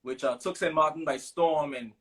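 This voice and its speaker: A man in his thirties from Sint Maarten with a Caribbean English accent, a guest telling stories in a relaxed interview, with plenty of fillers. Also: on the high side, moderate pace. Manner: relaxed storytelling with many fillers. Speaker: guest musician. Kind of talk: casual interview chat.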